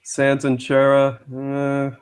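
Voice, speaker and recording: Slow, hesitant man chatting casually. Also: mid-range voice, monotone, clear, resonant tone. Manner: hesitant, halting. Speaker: guest co-commentator on a live stream. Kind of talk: casual chat.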